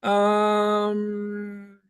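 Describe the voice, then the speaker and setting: A man presenting at a conference, answering questions in a halting, think-aloud way. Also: sits high, monotone, smooth.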